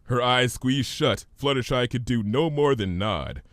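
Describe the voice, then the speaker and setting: A man's voice: mid-range voice, expressive, a little slow, no echo, studio quality. A man reading a scripted story aloud as narrator. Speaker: fan fiction reader, narrator.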